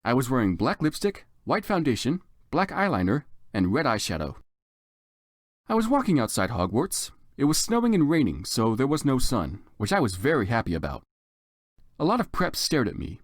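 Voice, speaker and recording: A man's voice: mid-range voice, huge pitch swings, normal speed, no room sound, clean studio sound. A man giving a dramatic, comedic story reading as narrator, with theatrical and exaggerated emphasis.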